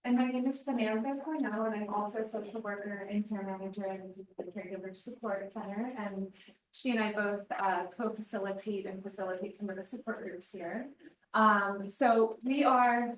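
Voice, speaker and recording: A woman's voice: medium pitch, fairly even intonation, normal speed. A woman social worker and care manager announcing a program, hesitant with frequent ums. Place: seminar room. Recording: roomy, from far off the mic.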